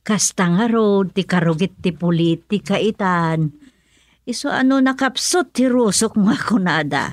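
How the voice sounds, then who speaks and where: Motherly, opinionated villager for Ilocano radio drama female actor: gossipy, colloquial, mid-pitched. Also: measured pace, dry recording, studio quality, natural voice. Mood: disapproving.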